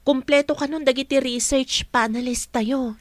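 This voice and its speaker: A woman's voice: high-pitched, steady tone, moderate pace, no room sound, lightly acted. A woman playing an earnest young college student in a radio drama. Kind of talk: Ilocano radio drama acting.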